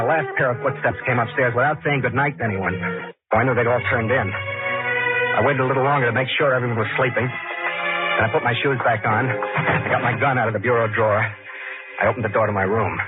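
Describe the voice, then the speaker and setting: A man's voice: mid-range voice, quick pace, narrow, tinny audio, natural voice. Casting: male actor for haunted, scheming narrator of a vintage radio suspense play, tense confessional delivery, thin old broadcast sound, prominent score. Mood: hushed, fearful. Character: furtive, frightened man plotting a killing, narrating his deed. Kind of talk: 1940s radio suspense acting. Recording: 1940s radio recording with loud organ-era music behind.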